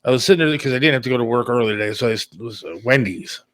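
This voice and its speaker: Male talk host, mid-range pitch, informal and plain-spoken, very dry sound. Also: expressive, very fast, fairly bright tone, no echo. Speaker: radio producer and talk show host. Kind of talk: casual chat about an upcoming radio show.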